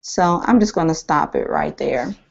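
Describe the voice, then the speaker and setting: A woman with a tired, slightly hoarse voice walking through a screen-share tutorial, rambling and thinking aloud with many ums. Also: low-pitched, normal speed. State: losing her voice, a bit hoarse. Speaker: online marketing trainer. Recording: dull lo-fi screen-capture audio.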